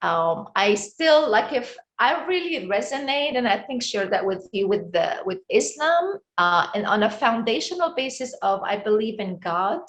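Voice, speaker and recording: A woman's voice: a little high, big pitch swings, fairly bright tone. Reflective Egyptian-accented woman guest. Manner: reflective, halting with many fillers. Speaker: guest, wellness coach. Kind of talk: casual interview talk.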